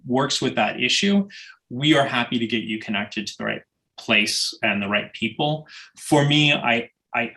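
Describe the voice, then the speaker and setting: A man with a gravelly, fry-edged voice that still sounds bright and forward. He speaks as a university dean, relaxed and hesitant, filling pauses with small ums and uhs as he welcomes new students over a video call. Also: mid-range voice, poor audio. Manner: relaxed, hesitant with many fillers. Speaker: assistant dean. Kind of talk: welcome session talk to students.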